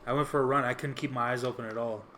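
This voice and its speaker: A man's voice: mid-range voice, steady tone, very fast, a bit bright. A man with a mid-range voice talks as a podcast co-host. He is casual and slangy, chiming in with short supportive remarks and the odd swear word. Faint noise sits behind the voice. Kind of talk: casual chat.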